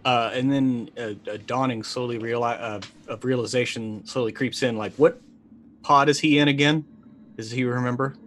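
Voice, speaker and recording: A man in his forties playing a tabletop game, thinking aloud haltingly as he describes his character. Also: medium pitch, fairly even intonation, a bit bright, a little hiss or hum. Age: 40s. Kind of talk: improvised third-person character description.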